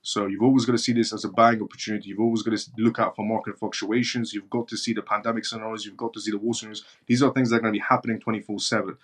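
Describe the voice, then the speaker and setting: Blunt guy from iran hosting a live stream, reads out chat questions, keeps hammering the same point, kinda low voice. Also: little pitch movement, very fast, few pauses. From northern Iran. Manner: blunt, repeats his points for emphasis. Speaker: live-stream show host. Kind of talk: live-stream talk answering viewer chat.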